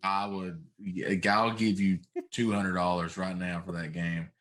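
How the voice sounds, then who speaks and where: A man's voice: low voice, flat delivery, a little slow, fairly bright tone. A middle-aged man chatting casually and giving his opinions as a talk show host.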